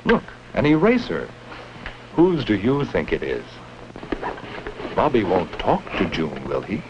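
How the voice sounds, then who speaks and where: A man's voice: lowish voice, huge pitch swings, unhurried. A man reading scripted narration for a 1950s educational film, instructing children with simple questions. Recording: muffled, noisy 1950s educational film soundtrack.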